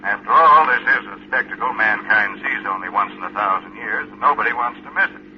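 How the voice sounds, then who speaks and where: An actor playing a breezy, reassuring American radio news reporter, chatty and folksy in a vintage broadcast style. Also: male voice, on the low side, fairly quick, lightly acted. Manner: folksy, chatty announcer delivery. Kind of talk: 1950s radio news commentary. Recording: muffled vintage radio, faint hiss.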